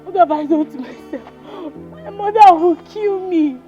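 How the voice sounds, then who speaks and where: An actress playing a young pregnant woman who pleads in hushed tones and tearful cries. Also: mid-range voice, moderate pace, close to an everyday voice. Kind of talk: Nollywood-style skit acting. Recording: muffled audio with loud music.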